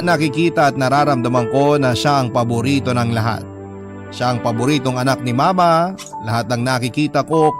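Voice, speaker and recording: A man reading an aggrieved sibling's letter as dramatic narration, measured and emotive, with a Filipino accent. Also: mid-range voice, close to an everyday voice. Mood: weary, hurt. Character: aggrieved elder sibling telling their story by letter. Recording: prominent music under narration.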